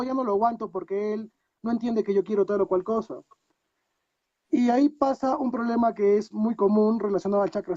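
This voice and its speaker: A man's voice: high-pitched, dark tone, dry recording, highs cut off. A man with a Peruvian accent, a guest on a video call, talking reflectively and asking himself many rhetorical questions. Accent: Peruvian Spanish. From Peru. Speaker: guest coach. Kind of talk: casual interview talk.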